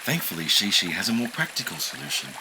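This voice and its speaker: A man narrating a children's audiobook in a gentle, expressive storytelling manner. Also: sits low, quick pace, background noise. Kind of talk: children's audiobook read-aloud.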